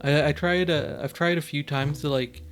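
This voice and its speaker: A man's voice: on the high side, fairly even intonation, moderate pace, over music. A man from the United States hosting a casual podcast chat, laughing often and filling his talk with "like". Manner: laughs often, heavy use of "like". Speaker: podcast host.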